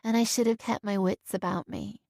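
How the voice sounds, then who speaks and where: A subdued mother speaking softly and slowly, weighed down with guilt. A woman reads her in a close, dry audiobook voice. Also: mid-range voice, gravelly, no room sound, natural voice. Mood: guilty. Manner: quiet, subdued, apologetic. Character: soft-spoken guilt-ridden adult woman, mother.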